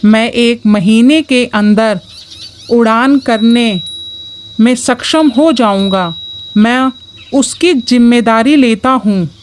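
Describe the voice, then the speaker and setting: Woman voicing a slow-talking young cartoon horse. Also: fairly high pitch, slight background noise. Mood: boastful, determined. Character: young male cartoon horse, wishful and boastfully determined. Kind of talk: children's cartoon story dialogue.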